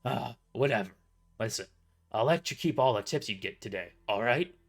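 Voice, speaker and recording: A man doing a loud, croaking old boss. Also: medium pitch, animated, moderate pace. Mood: cowering. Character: gross old male cafe boss, bullying then cowardly.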